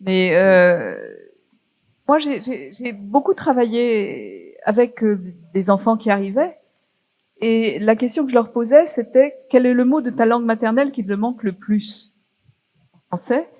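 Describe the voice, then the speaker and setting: A woman, a guest on a festival panel, speaks in a lively storytelling way with asides. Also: fairly high pitch, unhurried, rough recording. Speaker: philosopher guest.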